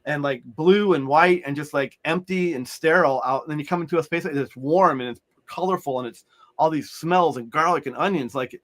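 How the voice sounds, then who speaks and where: A man's voice: fairly high pitch, a bit bright. A middle-aged man, an author, talking in a loose, rambling way with many restarts as an interview guest. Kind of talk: conversational interview.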